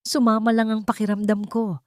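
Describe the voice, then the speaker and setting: A woman's voice: fairly high pitch, level tone, brisk, no echo, studio quality, voice pushed up, close to an everyday voice. A woman playing a betrayed, determined wife who hides her anger behind guarded, pointed words in an audio story. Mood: guarded, defiant.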